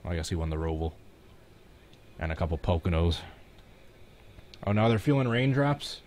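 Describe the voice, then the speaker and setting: A man's voice: low voice, lively intonation, moderate pace, a little mellow, a little hiss or hum. A man with a Midwestern American accent, chatting casually and reacting live to a race as a stream host.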